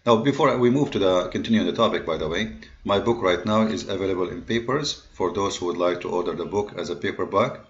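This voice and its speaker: A man with a fairly low voice, giving a loose lecture-style talk. His speech stops and starts, full of fillers and repeated words, with grammar. The recording is dull and lo-fi. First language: Arabic. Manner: halting, many fillers and restarts, non-native grammar. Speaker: online religious debater and host. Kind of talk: casual lecture-style monologue. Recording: dull lo-fi sound.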